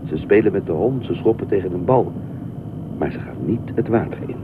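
A man's voice: deep voice, very animated, fairly quick, phone-quality sound. An actor in a Dutch radio play voicing a reluctant, dryly patient stranger, terse and matter-of-fact in his answers. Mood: puzzled, dry. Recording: noisy, thin band-limited old radio recording.

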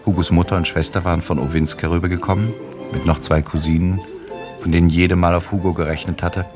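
Guy narrating a literary radio play, low voice, music playing underneath. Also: fairly even intonation, quick pace.

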